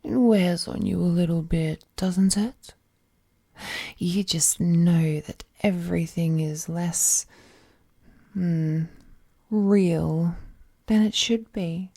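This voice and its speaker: A woman playing a ruthless, possessive, all-powerful being in an intimate audio drama, speaking with languid, condescending affection and often dropping into a breathy whisper. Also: lowish voice, fairly even intonation, takes it slowly, pauses often, mellow, full character voice. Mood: languid, wistful.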